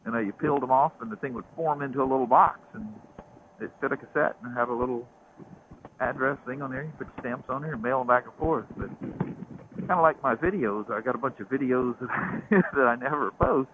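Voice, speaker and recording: A man's voice: mid-range voice, brisk. Southern guy vlogging from his bike, easygoing storyteller, thin phone-like sound with light wind hiss. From American South. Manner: rambling, storytelling. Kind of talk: casual chat while riding. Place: outdoors on a motorcycle. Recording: tinny intercom with faint road noise.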